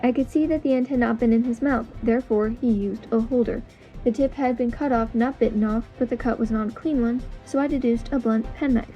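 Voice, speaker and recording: A woman's voice: a little high, fairly even intonation, brisk, close to an everyday voice. A woman playing a confident, precise, analytical detective in an amateur audio drama, explaining things in an assured way. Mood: assured, explanatory. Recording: dull lo-fi audio with music.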